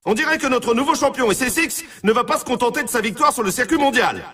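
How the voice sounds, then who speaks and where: An actor playing an excitable snowboard race announcer in a video game, emphatic and showy, heard slightly at a distance. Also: male voice, extremely high pitch, fast talker, husky, full character voice. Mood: dramatic hype. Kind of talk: scripted video game sports commentary.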